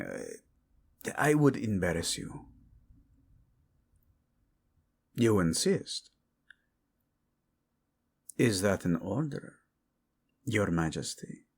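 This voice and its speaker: A man's voice: fairly low pitch, breathy edge, exaggerated voice. A man playing a devoted, hot-tempered yet tender king, speaking intimately and teasingly in a romantic audio roleplay. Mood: hesitant, bashful. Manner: soft, intimate, teasing, halting.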